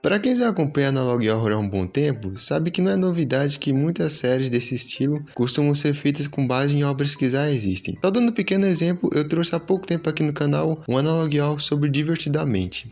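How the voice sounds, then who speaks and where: A man's voice: a little high, a little mellow. A man with a Brazilian Portuguese accent doing casual, opinionated commentary and story recap in colloquial speech. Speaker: YouTube narrator and commentator.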